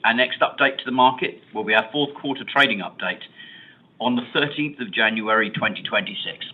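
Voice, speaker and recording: A man's voice: medium pitch, brisk. A male company spokesman making formal closing remarks on an earnings call. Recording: narrow phone-line conference call audio.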